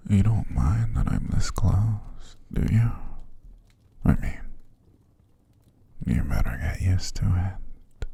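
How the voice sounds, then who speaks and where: A man playing a possessive, seductive vampire master in an ASMR roleplay, calm and commanding, slow and formal, often sinking to a gravelly whisper. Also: low voice, exaggerated voice. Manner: slow, formal, soothing but controlling.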